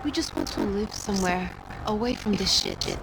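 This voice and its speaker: A woman's voice: mid-range voice, moderate pace, very noisy, close to an everyday voice. An actress playing a brave but anxious young woman on the run in a video game, speaking earnestly. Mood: quiet, earnest. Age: young adult.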